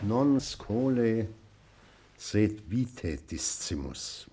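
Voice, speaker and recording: An elderly Latin teacher, dryly commanding yet benevolent. His measured speech rises and falls widely on a noisy radio drama recording. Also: on the low side, big pitch swings, warm tone, full character voice. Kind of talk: German radio play acting.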